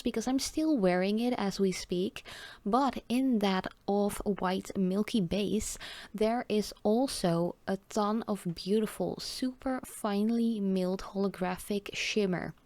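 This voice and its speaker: A woman in her thirties with a Dutch accent, chatting cheerfully through a nail polish swatch and sometimes dropping to a soft whisper while she paints. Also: medium pitch, no room sound. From Netherlands. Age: 30s. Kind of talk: casual talk-through over close-up swatching.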